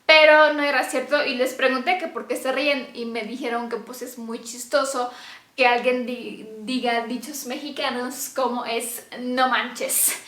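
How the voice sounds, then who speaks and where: A woman's voice: high voice, fairly even intonation, unhurried, fairly bright tone. A young woman from Romania, chatting casually to camera in Spanish and laughing often. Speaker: vlogger. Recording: echoey room.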